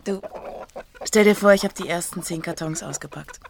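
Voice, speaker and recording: An actress playing a relaxed, teasing woman in casual radio drama dialogue, playful in manner. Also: on the low side, normal speed, close to an everyday voice. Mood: tentative, pleased. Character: relaxed, teasing woman, companion of a police inspector. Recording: noisy scene with chickens clucking.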